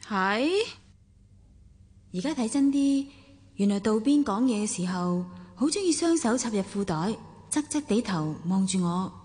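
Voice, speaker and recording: A woman's voice: medium pitch, animated, unhurried, a little mellow, breathy edge. An actress playing a sociable, reflective well-off woman in a radio drama, with a Hong Kong Cantonese accent. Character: well-off, sociable, somewhat wistful woman narrating her romance.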